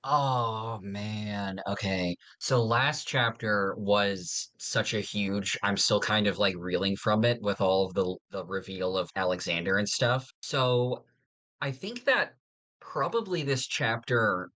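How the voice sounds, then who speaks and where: A man's voice: on the low side, takes it slowly, sharp tone. A man co-hosting a podcast in a casual, conversational style. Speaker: podcast co-host.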